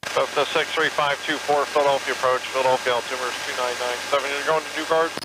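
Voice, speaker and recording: A man's voice: mid-range voice, fairly even intonation, fast. A man working as an air traffic controller, giving procedural instructions over aviation radio.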